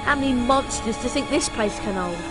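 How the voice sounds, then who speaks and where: A woman voicing an excitable but cautious young schoolboy sidekick in a video game. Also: on the high side, a little fast, music in the background, natural voice. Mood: wary. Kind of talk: video game cutscene acting.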